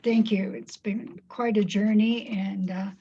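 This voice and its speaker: A woman's voice: medium pitch, steady tone, unhurried, warm tone, highs cut off, a little hiss or hum. A woman hosting a conversational interview as its interviewer. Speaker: interview host.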